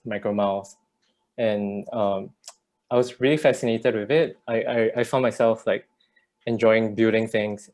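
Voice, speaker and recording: A man from Singapore speaks casually about his start in the field, dropping "like" often. His voice is a little lower than usual. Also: darkish tone. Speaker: startup co-founder guest.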